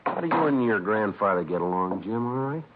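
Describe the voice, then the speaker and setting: A man's voice: lowish voice, expressive, fairly quick, background noise, natural voice. A man playing a no-nonsense police sergeant who also narrates, matter-of-fact and clipped, in a 1950s radio drama. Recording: dull vintage radio recording.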